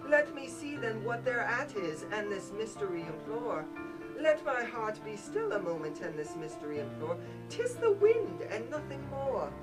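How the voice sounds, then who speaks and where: A man's voice: high-pitched, sing-song, normal speed, distant mic, loud music behind the voice, rough recording. A man playing an anxious, grief-haunted scholar in a dramatic poem, exclaiming theatrically. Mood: agitated.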